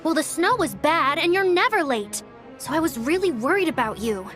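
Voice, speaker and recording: A woman's voice: sits high, lively intonation, normal speed, a bit bright, faint music behind. A woman voicing a chatty young little sister in a game cutscene, frank and a little reproachful. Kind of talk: animated game cutscene acting.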